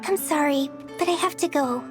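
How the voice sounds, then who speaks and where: A woman's voice: high voice, moderate pace, music in the background, lightly acted. A woman voicing a wistful, sincere young wandering girl in a video game cutscene. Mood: touched, happy. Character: soft-hearted, wistful mysterious wandering girl.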